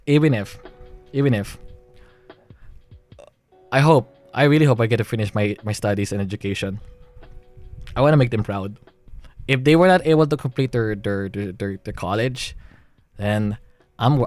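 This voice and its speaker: A young Filipino-accented man talks into his stream in a rambling way, restarting his sentences and laughing nervously. His voice sits at an ordinary middle pitch for a man. Faint music plays under him. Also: darkish tone, no echo. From Philippines. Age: young adult. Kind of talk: emotional livestream monologue.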